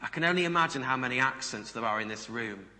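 A man preaches with a strikingly bright, forward voice at a middle pitch. His sermon delivery is conversational, often turning to the listeners with rhetorical questions. The sound carries the long reverb of a hall. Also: expressive, fast talker. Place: large reverberant room before a congregation.

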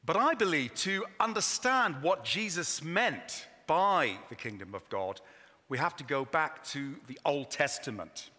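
A man preaching a sermon in a church, enthusiastic and openly apologetic about how loud his voice is. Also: a little high, lively intonation, unhurried. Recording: long hall reverb.

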